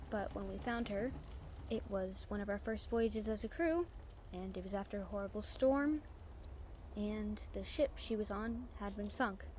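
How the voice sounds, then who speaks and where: A woman playing a brash but warm-hearted female pirate captain in an audio roleplay, confiding and casually salty. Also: medium pitch, natural voice. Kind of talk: audio roleplay acting, chatting to the listener. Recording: faint background noise and ambience.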